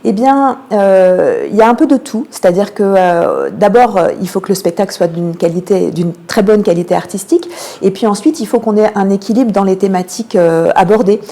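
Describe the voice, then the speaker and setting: A woman giving explanatory answers as a guest in a radio interview, often pausing on drawn-out hesitations. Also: mid-range voice, very animated, dark tone. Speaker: theatre programming manager, interview guest.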